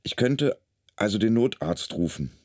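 An actor playing an anxious, brooding man in his fifties, halting and deadpan in a radio play monologue. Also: lowish voice, dry recording, studio quality. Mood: deadpan unease. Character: anxious, ruminating 52-year-old male emergency patient, inner monologue. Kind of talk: German radio play acting.

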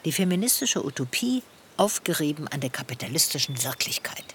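A woman playing a thoughtful, articulate middle-aged feminist in a radio play, speaking in measured, reflective phrases. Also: deep voice, very animated, a bit bright, a little hiss or hum. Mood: reflective, sober. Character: thoughtful feminist woman recalling her longing for motherhood. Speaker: main character in a radio play. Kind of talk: reflective first-person radio play dialogue.

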